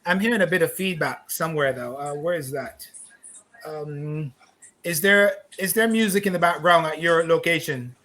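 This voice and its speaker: A man's voice: a little high, normal speed, pushed and bright. A middle-aged man from Barbados hosting a live online talk show, upbeat and effusive, talking over a video call setup. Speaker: host.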